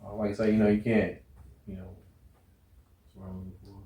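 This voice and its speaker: A man's voice: on the low side, level tone, brisk, highs cut off. An American man talking casually and candidly as an interview guest, rambling and often checking in with his listener. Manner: rambling, repeats "you know what I'm saying". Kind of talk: casual, candid conversation about a relationship. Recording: roomy, echoey sound.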